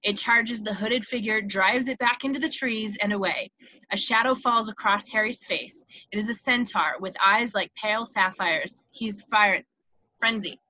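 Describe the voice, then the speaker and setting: A woman's voice: mid-range voice, moderate pace, rough recording. A woman reading scripted stage directions as narrator of a table read. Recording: clear steady background noise.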